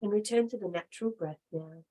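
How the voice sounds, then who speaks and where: A woman teaching yoga, guiding relaxation and meditation in short, measured phrases with long pauses. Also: medium pitch, warm tone, low-quality recording. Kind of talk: guided relaxation and meditation instruction.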